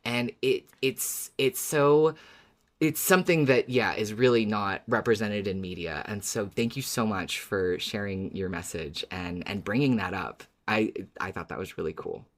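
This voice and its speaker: A podcast host talking casually and earnestly, searching for words in a halting way. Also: low-pitched.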